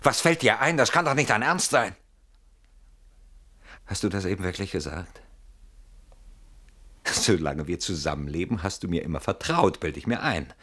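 An irritable husband in a radio play, always on the defensive. His voice is fairly low, with a clipped, dismissive delivery. He sounds indignant at being doubted. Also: lively intonation, normal speed, long pauses, bright, forceful tone, heavily acted. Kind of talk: German radio play acting.

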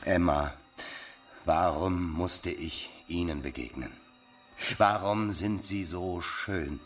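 A man's voice: low-pitched, takes it slowly. A man playing a self-centred, callous bachelor lover in a radio drama, with theatrical, self-justifying delivery. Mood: pompous regret.

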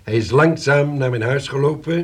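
An actor playing a dignified, somewhat vain older professor and uncle in a radio drama. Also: male voice, medium pitch, normal speed, breathy edge, close to an everyday voice. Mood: measured recounting.

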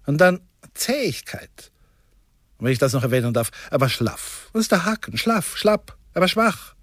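Scornful record producer, highish voice, dry close sound, agitated, emphatic, radio play. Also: male voice, big pitch swings, moderate pace, a bit bright, no room sound. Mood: contemptuous, agitated. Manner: emphatic, hammering short judgments.

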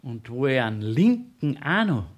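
An actor playing a sly, nosy court clerk in a Bavarian dialect radio play, probing and insinuating with mock concern. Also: male voice, mid-range voice, very animated, moderate pace. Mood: sly, teasing.

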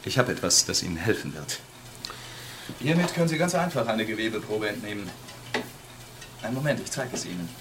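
A low-voiced scientist explains things with polite, sinister calm. He is measured and matter-of-fact, in a radio drama scene with clear ambient noise. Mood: insinuating, courteous. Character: sinister, courteous male scientist obsessed with brain samples.

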